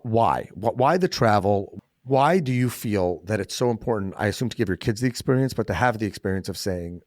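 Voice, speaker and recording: A man's voice: fairly low pitch, lively intonation, fairly quick, a little mellow, no room sound, clean studio sound. A man hosting a podcast, chatting casually and making joking asides. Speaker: podcast host. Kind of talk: casual interview chat.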